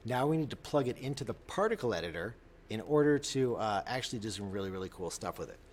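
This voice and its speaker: A man explaining software step by step in an unscripted tutorial, often repeating and rephrasing himself as he goes. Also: lowish voice, big pitch swings, fast talker.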